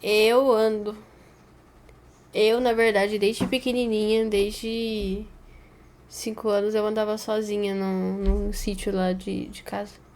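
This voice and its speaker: Casting: female Brazilian reaction host, mid-pitched voice, casual and jokey delivery, audible room noise. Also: little pitch movement, slow, darkish tone. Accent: Brazilian Portuguese. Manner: playful, joking exaggeration. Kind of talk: casual chat reacting to a horror video.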